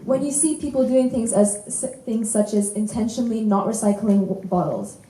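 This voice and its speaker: A teenage girl presents a prepared talk at a conference with an earnest, mellow delivery. Her voice echoes around a large hall. Now and then she trips over a word and corrects herself. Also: a little high, normal speed, slight background noise. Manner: earnest, occasional stumbles and self-corrections. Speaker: student presenter. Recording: roomy hall echo.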